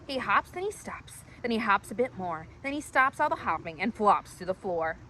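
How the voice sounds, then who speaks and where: A woman storyteller reading a rhyming children's story aloud in an animated style. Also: mid-range voice, normal speed, slight background noise, rough recording.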